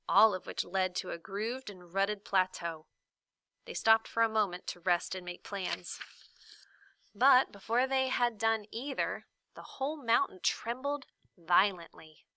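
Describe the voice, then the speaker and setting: Woman, bright crisp tone, expressive storybook narration, teacher read-aloud, dry close sound. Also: mid-range voice, dry recording. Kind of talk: expressive children's book narration.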